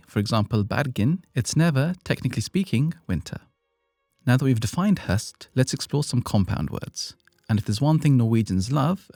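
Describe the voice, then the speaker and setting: British male podcast host, scripted and playful, with a gravelly edge at a mid pitch. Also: a little mellow, dry recording, clean studio sound. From Britain. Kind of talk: scripted educational narration.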